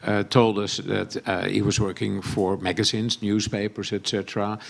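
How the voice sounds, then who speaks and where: A man's voice: fairly low pitch, little pitch movement, normal speed, vocal fry. A man whose first language is Dutch, hosting a stage event and interviewing guests in English with friendly prompting questions.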